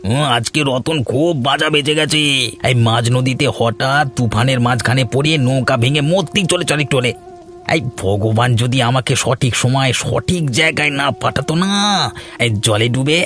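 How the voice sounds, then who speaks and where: A man's voice: mid-range voice, normal speed, a bit bright, natural voice. A man voicing a roguish village man in a cartoon folk tale, talking in a colloquial, slangy way full of interjections. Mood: reflective. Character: roguish village man Nagen, reformed wrongdoer. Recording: rain behind the voice.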